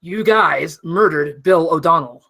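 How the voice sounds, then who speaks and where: A woman's voice: low-pitched, unhurried, gravelly, pushed and bright, exaggerated voice. A woman voicing a stern, indignant judge losing all patience in a comedy script table read. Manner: indignant, scolding.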